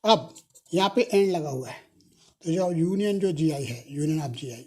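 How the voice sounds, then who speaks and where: A woman giving a recorded mathematics lecture, working through a proof step by step. Her voice sits low and mellow with a husky edge. She spells out each step slowly, repeats herself for note-taking and quickly fixes her own slips. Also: expressive. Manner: slow, repetitive dictation of proof steps; self-correcting, apologetic on slips. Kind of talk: recorded university lecture, mixing Hindi and English.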